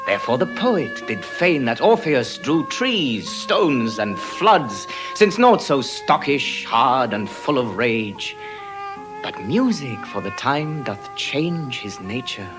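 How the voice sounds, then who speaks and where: Eloquent young lover, Shakespearean verse, declamatory, animated pitch, music underneath. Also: male voice, low-quality recording. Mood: grave, lyrical. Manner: lyrical, declamatory, measured. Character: Lorenzo, romantic eloquent young gentleman lover. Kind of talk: classical Shakespearean stage acting.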